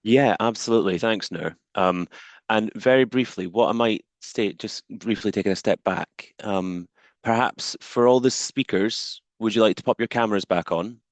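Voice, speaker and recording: A man's voice: on the low side, moderate pace, dry recording. A man hosting a video-call webinar, politely moderating questions with frequent hesitations. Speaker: webinar host.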